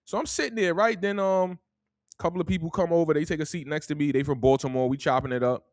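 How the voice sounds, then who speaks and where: A man's voice: fairly high pitch, steady tone, quick pace, darkish tone, no echo, clean studio sound. Laid-back new york guy telling stories. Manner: laid-back, drawling storyteller, tags lines with "man". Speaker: podcast host. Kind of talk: casual storytelling.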